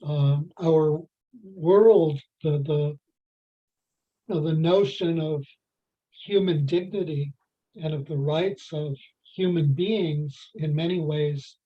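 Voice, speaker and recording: A participant in an adult faith class discussion, talking in a halting way with many fillers and restarts. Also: medium pitch, dark tone. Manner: halting, heavy with "you know" fillers and restarts. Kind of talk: group discussion. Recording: dull lo-fi sound.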